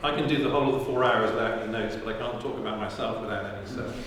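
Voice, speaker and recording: A man's voice: fairly low pitch, racing, a bit bright. A man leading a workshop talks in a chatty, self-deprecating way. His mid-range voice comes from a distance in a very echoey room. A faint hiss sits underneath. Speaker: workshop leader. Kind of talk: workshop introduction. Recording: echoey room from far off with noise.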